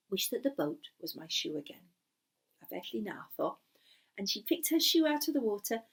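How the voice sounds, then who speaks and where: A woman telling a story in an animated, dramatic way, often dropping to a hushed breathy delivery. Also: medium pitch. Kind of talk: animated bilingual oral storytelling.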